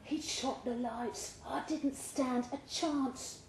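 A woman's voice: sits high, fairly even intonation, slow, pitched above the performer's own voice. A woman voicing an earnest, anxious male London ex-convict in a live story reading, talking colloquially. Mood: pleading, dejected. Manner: colloquial, streetwise. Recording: roomy live pub recording, faint background noise.

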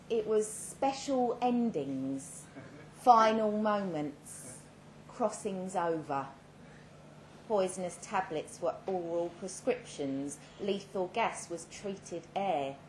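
A woman giving a measured, dramatic reading of a first-person short story at a live event, with pointed pauses. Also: fairly low pitch, lively intonation. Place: indoor live literary event. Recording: roomy live venue, clear background noise.

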